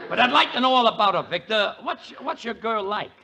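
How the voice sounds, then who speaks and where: A middle-aged man, hosting 1940s radio comedy banter with gravelly wisecracks. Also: high-pitched, expressive, fairly quick, pushed and bright. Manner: gravelly, wisecracking, laughing at his own jokes. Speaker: comedy show host. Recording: noisy old radio broadcast.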